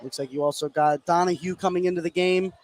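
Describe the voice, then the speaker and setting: A man's voice: high-pitched, steady tone, quick pace. An American man giving live play-by-play radio commentary on a basketball game. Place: indoor high school gym during a game. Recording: clear background gym noise.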